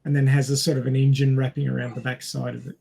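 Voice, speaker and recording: Husky, creaky higher-pitched man chatting film. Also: little pitch movement, quick pace, mellow. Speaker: podcast panelist. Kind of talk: casual film discussion.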